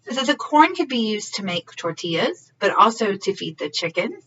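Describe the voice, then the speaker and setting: A woman's voice: medium pitch, big pitch swings, normal speed, fairly bright tone, husky. A woman reading a story aloud for young children in a teacherly way, often checking in with questions. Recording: slightly muffled, distant mic.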